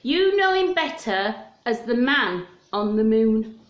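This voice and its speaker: A roomy home recording of a woman. Her voice is fairly high and she takes her time, speaking very slowly. She presents a story to young children in simple words, putting questions to them as she reads. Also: sing-song. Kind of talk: reading a picture book aloud to children. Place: small room at home, indoors.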